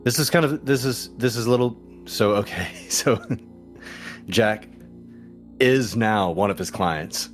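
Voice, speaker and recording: A man's voice: fairly low pitch, a little slow, pauses often. A man casually improvising what his character does as a player in a tabletop roleplaying game. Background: soft music bed.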